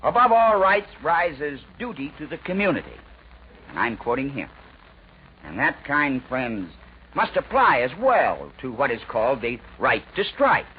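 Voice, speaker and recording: A man with an American accent delivers a scripted 1940s radio editorial in an oratorical, emphatic way, with deliberate rhetorical pauses. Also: medium pitch, sing-song. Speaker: radio news commentator. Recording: dull, noisy 1940s radio recording.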